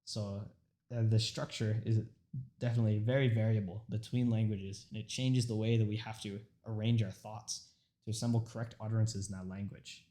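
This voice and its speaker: A young man whose first language is Urdu gives a prepared informative speech, earnest and mostly steady, with a few stumbles and restarts as he reads. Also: on the low side, moderate pace. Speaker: student speaker.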